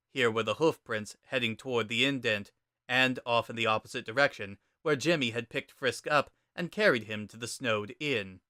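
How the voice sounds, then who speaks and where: A man's voice: mid-range voice, sharp tone, dry recording, clean studio sound. A man reading a scripted story aloud as its narrator.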